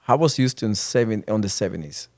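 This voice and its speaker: A man hosting a podcast, asking informal, halting questions. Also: lowish voice, lively intonation, darkish tone. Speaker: podcast host. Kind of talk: casual interview questions.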